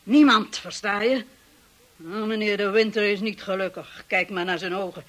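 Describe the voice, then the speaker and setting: An actress playing a hostile, obsessive housekeeper in a radio drama, speaking with slow, menacing contempt. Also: female voice, medium pitch, fairly even intonation, close to an everyday voice. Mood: cold, scornful. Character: hostile, obsessive, grieving housekeeper, a grown woman.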